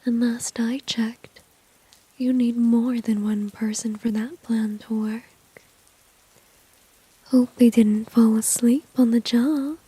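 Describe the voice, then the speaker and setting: A woman playing a flirty, dominant villain in a whispered ASMR roleplay, teasing slowly and coyly with mocking condescension. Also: fairly high pitch, steady tone, no echo, full character voice, poor audio. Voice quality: soft, breathy whisper. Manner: slow, coy, mocking, drawn-out teasing.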